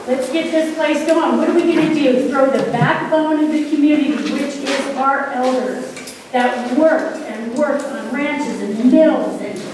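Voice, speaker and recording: High-voiced woman ranting in echoey hall. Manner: emphatic, indignant, rambling. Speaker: public commenter. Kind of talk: impassioned public comment. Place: large fairgrounds meeting hall. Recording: echoey hall, distant mic, noisy.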